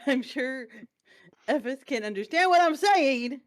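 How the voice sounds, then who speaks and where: Higher-voiced woman playing a tabletop game. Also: animated, takes it slowly, no room sound, studio quality. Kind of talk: casual chat.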